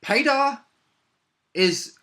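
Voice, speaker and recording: Bright-voiced man chatting about a game. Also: extremely high pitch, animated, extremely slow. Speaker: tabletop role-playing game player. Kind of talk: casual chat recapping a game session.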